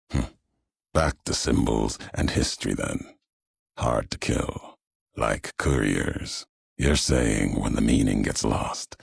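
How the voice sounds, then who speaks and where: Brooding wasteland courier for a game, super low raspy voice, solemn and cryptic. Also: male voice, no echo, studio quality, exaggerated voice. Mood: cold, contemptuous. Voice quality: very deep gravelly rasp. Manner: slow, solemn, cryptic, deliberate pauses between short phrases. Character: grave, brooding wasteland courier and ex-legion tribesman. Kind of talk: dramatic video game dialogue.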